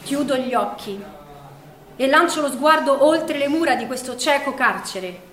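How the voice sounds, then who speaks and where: An actress playing an imprisoned woman, weary, reflective and quietly defiant, in a measured theatrical monologue. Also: high-pitched, expressive, echoey room, slight background noise. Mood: resolute. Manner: measured, literary, introspective delivery.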